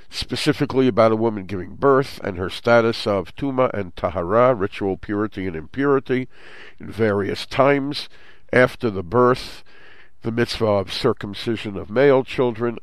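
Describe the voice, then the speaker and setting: A man's voice: lowish voice, dry recording. A man with a stuffed-up, nasal voice hosting a Torah study program, explaining scripture steadily and mixing in Hebrew terms. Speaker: host. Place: Jerusalem.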